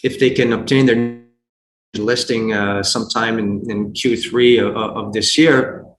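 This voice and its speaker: A man giving unscripted investment commentary as a guest, speaking haltingly with frequent repeats and false starts. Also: on the low side, steady tone. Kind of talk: unscripted earnings call commentary. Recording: roomy echo, faint background noise.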